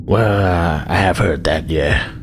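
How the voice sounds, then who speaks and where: A nervous, awkward engineer aboard a starship, played by a man in a tabletop roleplaying game. The voice is low, halting and stammering, evasive about bending rules, with clear background noise. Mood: cautiously helpful.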